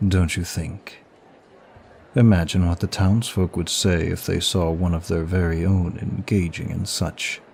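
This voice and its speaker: A man's voice: deep voice, a little mellow, slight background noise. A man playing a smug, seductive demon of lust in an intimate ASMR roleplay, with suave, teasing and formal flirtatious phrasing. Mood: coy, suave.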